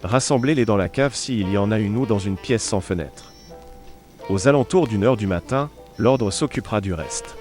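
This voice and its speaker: A man plays a shrewd, cold-blooded wartime barkeeper in a low voice. He talks like a Resistance plotter in a radio play, with music clearly behind him. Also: moderate pace, a little mellow, natural voice. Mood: cold, decisive. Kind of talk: wartime audio drama dialogue.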